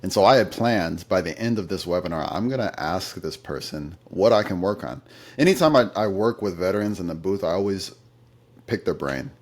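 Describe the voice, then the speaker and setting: A man with a very low, deep voice, answering interview questions at an easy conversational pace. He tells his stories thoughtfully, stopping now and then with fillers as he gathers his thoughts. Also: lively intonation, a little fast. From United States. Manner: thoughtful storytelling, occasional fillers.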